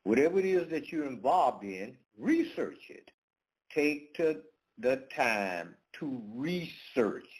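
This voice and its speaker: A man's voice: mid-range voice, sing-song, poor audio. A man hosting his own channel, talking his viewers through a topic in a loose monologue full of fillers and restarts. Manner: halting, many fillers and restarts. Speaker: channel host. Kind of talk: casual monologue to camera.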